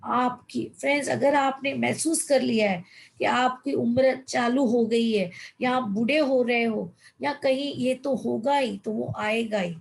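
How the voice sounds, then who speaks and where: A woman in her fifties with a gravelly edge and a fairly high voice leads an online coaching session. She reads a passage aloud, then talks it through for her listeners, calling them friends and mixing English words into her speech. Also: unhurried. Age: 50s. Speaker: life coach. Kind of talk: motivational online session in Hindi.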